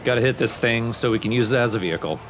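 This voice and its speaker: A man's voice: mid-range voice, fast. A man giving casual, explanatory commentary on a video game. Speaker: let's play commentator. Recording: game music behind the voice. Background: loud video game music.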